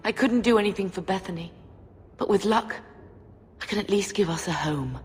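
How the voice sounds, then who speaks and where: An actress playing a grieving middle-aged mother in a fantasy video game, speaking in an emotional, halting way. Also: medium pitch, animated, close to an everyday voice. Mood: subdued resolve. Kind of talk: dramatic video game voice acting. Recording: long reverb, music behind. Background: music.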